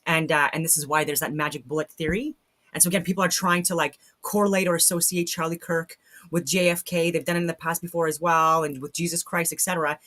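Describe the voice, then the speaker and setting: A woman's voice: deep voice, fairly even intonation, quick pace, fairly bright tone. Blunt, skeptical woman reacting casually. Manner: blunt, skeptical, repeats phrases for emphasis. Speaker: live stream host reacting to a video. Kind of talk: casual live reaction commentary.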